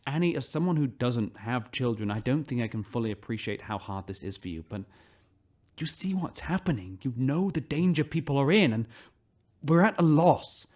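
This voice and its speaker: A man voicing a measured, earnest ship captain. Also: medium pitch, animated, darkish tone, natural voice.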